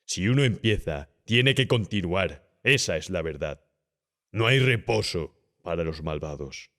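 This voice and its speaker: A man voicing a cynical, calm, domineering young gentleman body snatcher who talks persuasively and condescendingly in an audiobook horror story. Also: sits low, a little slow, exaggerated voice. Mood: cold, insistent. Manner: smooth-talking, persuasive, condescending.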